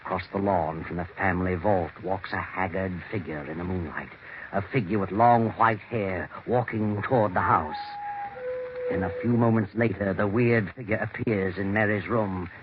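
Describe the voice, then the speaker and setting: An actor narrating a ghost mystery in a grave, foreboding, measured 1940s radio style. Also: male voice, low-pitched, fairly even intonation, noisy background, close to an everyday voice. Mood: ominous, grave. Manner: dramatic, ominous, measured. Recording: dull 1940s radio recording. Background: dramatic music.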